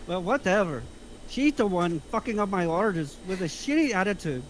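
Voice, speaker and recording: Male crook sidekick, played by a man, high pitch, sulky, grumbling, whiny, amateur table reading, slight noise. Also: very animated, normal speed. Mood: defensive, sulky. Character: Eddie, sulky complaining criminal sidekick, male.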